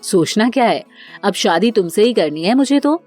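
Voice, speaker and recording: A woman's voice: medium pitch, animated, normal speed, a little mellow, faint music behind, lightly acted. A woman voicing an evasive young office man, a placating, defensive boyfriend in a cartoon family drama. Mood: casual, evasive.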